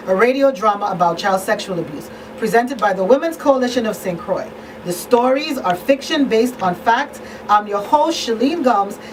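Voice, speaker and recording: A noisy, slightly distant live radio recording of a woman host. Her voice sits fairly high as she runs a call-in talk show.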